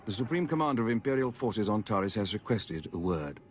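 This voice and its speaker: A brisk imperial captain. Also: male voice, fairly low pitch, big pitch swings, faint music behind. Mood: formal. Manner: formal, brisk. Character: dutiful male imperial army captain.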